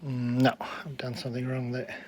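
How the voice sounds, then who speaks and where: A man with a medium-pitched voice demonstrates equipment in a casual, unscripted way. He thinks aloud and hesitates as he works through it. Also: monotone, moderate pace, very noisy. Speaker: vintage audio hobbyist demonstrating equipment.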